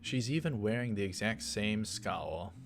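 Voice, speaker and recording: A man with a lowish voice hosts a game playthrough. He reads narration aloud and drops in casual comments. Soft music plays underneath. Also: lively intonation, unhurried. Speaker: let's play host. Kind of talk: reading a visual novel aloud with casual asides.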